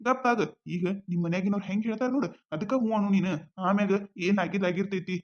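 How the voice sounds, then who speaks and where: A woman's voice: high-pitched, fairly even intonation, fast, lo-fi sound, natural voice. A woman with a North Karnataka Kannada accent acting as a young man reassuring his girlfriend. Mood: soothing. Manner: coaxing, reassuring. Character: young man boyfriend, devoted and insistent.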